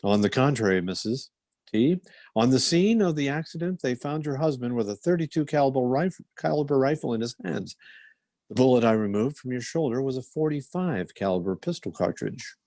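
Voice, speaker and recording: A man playing a calm, patronizing family doctor in an old-time radio drama, measured and reasoning. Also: medium pitch, dry recording, natural voice. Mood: patient, dismissive.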